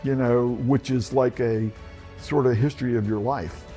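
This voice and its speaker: A man's voice: mid-range voice, steady tone, normal speed, warm tone, soft background music. A man in his seventies from Texas, a veteran photographer reminiscing in an interview. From Texas, United States. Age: 70s.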